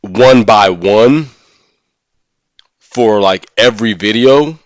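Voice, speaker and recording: A man's voice: fairly low pitch, takes it slowly, dry recording. A man who streams games, talking casually and giving his opinions as he thinks aloud. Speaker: live streamer and gaming content creator. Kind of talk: casual livestream discussion of a mobile game.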